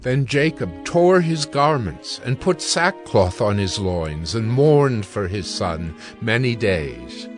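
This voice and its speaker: A man narrating scripture in a measured, solemn storytelling style. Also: fairly low pitch, very animated, soft background music. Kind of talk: scripted dramatic Bible narration.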